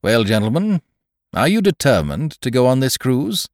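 Guy doing a stern plain-spoken ship captain, formal and firm, animated delivery, storybook style. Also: mid-range voice, moderate pace, no room sound, studio quality, pitched above the performer's own voice. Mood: insistent. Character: blunt, stern, dutiful male sea captain.

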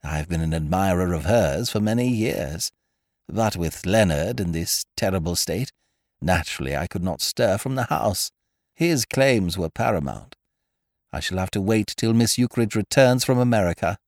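A man's voice: low voice, animated, normal speed, a little mellow, dry recording, studio quality, voice pushed up. A man voicing a fussy elderly aristocratic lady in a storybook, speaking primly and fretfully. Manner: prim, fretful, genteel.

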